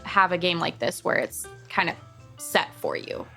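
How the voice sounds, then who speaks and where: A woman's voice: lowish voice, expressive, normal speed, gravelly, pushed and bright, faint music behind. A woman co-hosting a roleplay podcast, chatting casually and reassuringly with lots of fillers. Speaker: podcast co-host.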